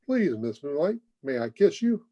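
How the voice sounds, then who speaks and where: A man's voice: a little high, big pitch swings, normal speed, dark tone. A man playing a brash, flippant, jaunty young suitor in an old-time radio drama. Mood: playful. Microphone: video call. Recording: dull lo-fi video call.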